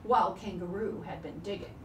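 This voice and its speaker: A woman narrating a folk tale expressively and deliberately, with drawn-out phrases. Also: fairly low pitch, soft background music. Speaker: storyteller. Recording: echoey room, distant microphone. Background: live cello playing.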